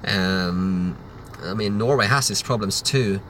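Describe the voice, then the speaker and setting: A man's voice: fairly low pitch, slow, fairly bright tone, a little hiss or hum. A man, hosting a livestream Q&A and answering chat questions in a loose, rambling way. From Sweden. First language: Swedish. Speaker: livestream host.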